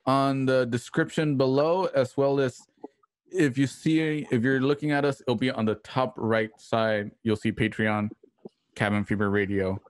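Male livestream host, mid-pitched, dry close-mic sound. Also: fairly even intonation, unhurried, no echo. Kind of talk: signing off an online livestream. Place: home room on video call. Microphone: close microphone with headset.

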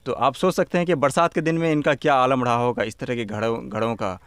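A man's voice: medium pitch, fairly even intonation, brisk, fairly bright tone, clear, resonant tone, dry recording. A man, reporting for a documentary from a village into a handheld microphone. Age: 20s. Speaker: field reporter. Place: outdoors and in open huts in a village. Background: music.

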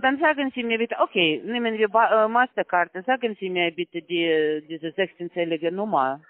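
A man as a phone scammer, speaking halting, broken German patiently and repetitively on a phone call. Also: sits high, dry recording. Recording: tinny phone line.

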